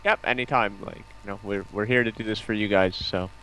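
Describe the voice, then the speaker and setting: A man's voice: fairly low pitch, steady tone, brisk, pushed and bright, a little hiss or hum, poor audio. A man chatting casually as a guest on a livestream call. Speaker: stream guest. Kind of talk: casual chat.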